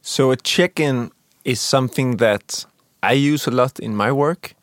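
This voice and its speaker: Young guy on a podcast, calm explainer, dry humor, mid pitch, no room sound. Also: a little slow, dry recording. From Denmark. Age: young adult. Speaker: podcast co-host. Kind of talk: casual podcast chat.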